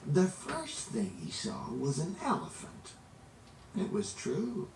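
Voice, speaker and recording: A man reading a children's story aloud in an expressive, dramatic way, drawing out words for emphasis. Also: sits high, creaky. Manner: animated, dramatic, drawn-out emphasis. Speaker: storybook reader. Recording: roomy, distant, noisy amateur recording.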